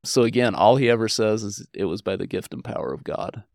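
A man's voice: fairly low pitch, brisk, gravelly, darkish tone, no room sound, studio quality. An American man speaking as an interviewed historian, explaining and telling stories in an earnest, informal, conversational way. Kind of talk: conversational explaining and personal storytelling.

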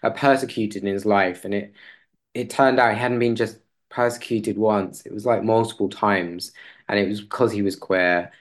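Low male voice, hesitant, halting phrasing, conversational podcast guest, self-correcting. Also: fairly even intonation. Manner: hesitant, halting, rephrasing as he goes. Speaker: interview guest, playwright.

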